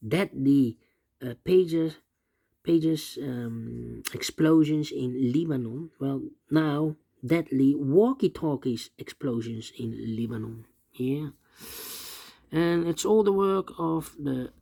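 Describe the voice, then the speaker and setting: A man hosting an unscripted step-by-step puzzle tutorial, speaking haltingly and often repeating his words and phrases. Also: medium pitch, creaky, warm tone. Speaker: puzzle instructor, host.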